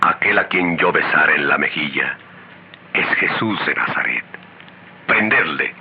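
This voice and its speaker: An actor playing a furtive, dread-gripped traitor apostle in an intense, theatrical radio drama style. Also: male voice, a bass voice, animated, unhurried, exaggerated voice. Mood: furtive urging. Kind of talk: Latin American radio drama acting. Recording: lo-fi radio drama, loud background noise.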